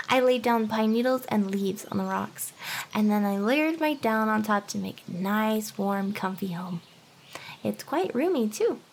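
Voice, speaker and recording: A woman playing an eager, nervous young female harpy in an anime ASMR roleplay, halting and flustered, with stammers and breathy, whispery touches. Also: mid-range voice, expressive, slight background noise. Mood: proud, eager. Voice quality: breathy, often slipping into whispers.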